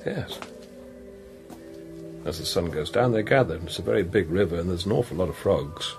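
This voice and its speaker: A man playing a gentle, probing priest in a radio drama, speaking thoughtfully with careful questions and pauses. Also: sits low, expressive, creaky, over music. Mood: gentle, wondering. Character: gentle, probing priest, an investigator sent from Rome. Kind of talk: naturalistic radio drama acting.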